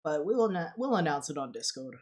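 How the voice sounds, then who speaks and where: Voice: medium pitch, big pitch swings, a little fast. A young adult streamer from Australia with an Australian accent, chatting casually and rambling, often breaking into laughter and cutting off their own sentences. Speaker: streamer, channel host.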